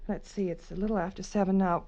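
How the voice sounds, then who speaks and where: A woman's voice: mid-range voice, steady tone, quick pace, noisy background, lightly acted. An actress playing an anxious, refined young married woman in a 1940s radio drama, earnest and hesitant in manner. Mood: worried, urgent. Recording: dull old radio recording, faint music.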